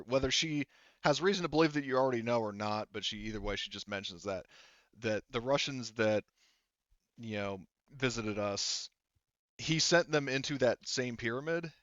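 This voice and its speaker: A man narrating a tabletop roleplaying game as game master, talking in a halting, rambling, informal way with some swearing. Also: mid-range voice, fairly bright tone, dry recording, lo-fi sound.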